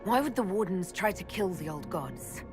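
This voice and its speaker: An actress playing a stern heroic leader in a video game cutscene, speaking in a firm, direct, serious way. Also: female voice, fairly low pitch, animated, normal speed, over music, close to an everyday voice. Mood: questioning, serious. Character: stern heroic woman leader confronting a villain.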